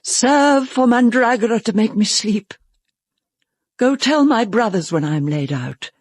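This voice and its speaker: Proud doomed noblewoman, Jacobean tragedy, grave declamation, breathy voice, measured pace. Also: fairly high pitch, animated, no room sound, studio quality. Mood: solemn defiance. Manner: solemn, declamatory. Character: proud condemned noblewoman calmly facing death. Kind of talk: Jacobean stage tragedy acting.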